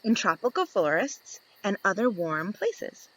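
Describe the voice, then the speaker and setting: A woman reading a children's picture book aloud, slow and lively, lifting into high voices for the questions. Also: dry recording, rough recording. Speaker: storybook reader.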